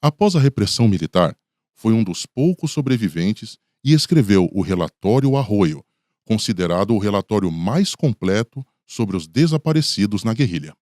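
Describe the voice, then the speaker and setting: A man's voice: fairly low pitch, big pitch swings, normal speed, a little mellow, no room sound, clean studio sound. A man with a Brazilian accent giving scripted narration for a radio documentary.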